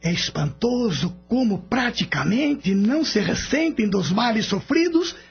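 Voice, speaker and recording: A wise, patient spirit mentor played by a man in a radio drama. The voice is high for a man and swoops expressively, with a husky grain. The recording is a bit dull. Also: sing-song, a little slow, exaggerated voice. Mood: patient, reverent. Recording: dull lo-fi audio with background music.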